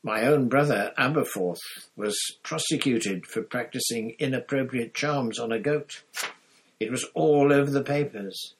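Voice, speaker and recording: A man reading aloud and voicing an elderly male headmaster wizard who speaks in a measured, gently dry way. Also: medium pitch, slightly rough, pitched above the performer's own voice, rough recording. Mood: wry, kindly firm.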